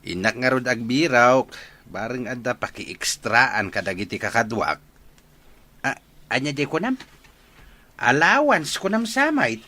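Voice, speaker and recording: A man acts out a nagging village father in an Ilocano radio comedy drama. His voice is sharp and whiny, jumping around in pitch as he pesters. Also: big pitch swings, measured pace, pauses often, heavily acted. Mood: pestering.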